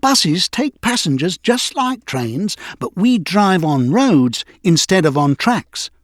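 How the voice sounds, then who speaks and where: A man's voice: high voice, sing-song, takes it slowly, no room sound, studio quality, pitched above the performer's own voice, full character voice. A man voicing a friendly, helpful male bus who talks politely and cheerfully in a children's storybook.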